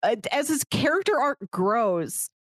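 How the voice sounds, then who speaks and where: A woman's voice: on the high side, big pitch swings, measured pace, no echo, clean studio sound. A woman co-hosting a podcast in casual, conversational discussion. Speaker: podcast co-host.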